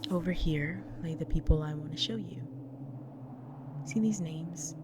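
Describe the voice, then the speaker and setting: A woman playing a gentle, protective vampire wife in an intimate audio roleplay, speaking tenderly in a breathy, near-whispered voice. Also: low voice. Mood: somber, gentle. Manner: tender, slow, soothing. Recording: noisy track with loud ambient sound.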